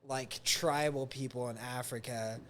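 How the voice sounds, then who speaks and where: A man hosting a podcast, rambling and halting, full of filler words and laughter. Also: medium pitch, steady tone. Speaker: podcast host. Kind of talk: casual rambling podcast talk.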